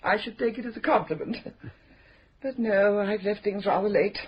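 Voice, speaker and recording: An actress playing a prim, mischievous, scheming spinster who runs a charity, in a radio drama. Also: female voice, mid-range voice, moderate pace, breathy edge. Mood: coy. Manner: arch, coy, prim and mischievous. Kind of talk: 1970s radio drama acting. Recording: dull slightly noisy radio drama recording.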